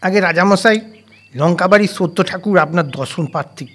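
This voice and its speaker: A man acts out a young male clerk in an audio story. The voice sits high, and the delivery is respectful and formal, like staff addressing a master. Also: brisk, fairly bright tone. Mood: respectful announcing. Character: deferential young male estate clerk announcing a visitor. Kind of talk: dramatised audio story dialogue.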